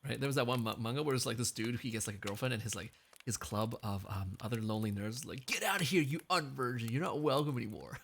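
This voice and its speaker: A man's voice: medium pitch, fast, creaky, a bit bright, a little hiss or hum. A man streaming karaoke, chatting casually between songs, joking and reading chat messages aloud with a playful manner. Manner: joking, reads chat aloud, frequent laughs. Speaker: VTuber streamer.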